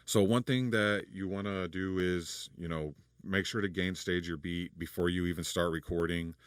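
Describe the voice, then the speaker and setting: A man with a deep voice explaining vocal recording in a relaxed, rambling tutorial, full of fillers and restarts. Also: moderate pace, no room sound, studio quality. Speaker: recording engineer and producer. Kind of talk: casual studio tutorial.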